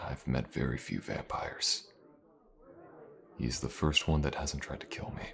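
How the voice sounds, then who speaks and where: Man acting a very deep, gravelly mercenary. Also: fairly bright tone, full character voice. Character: gruff, wry, loyal adult male fantasy mercenary. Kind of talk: intimate fantasy audio roleplay acting.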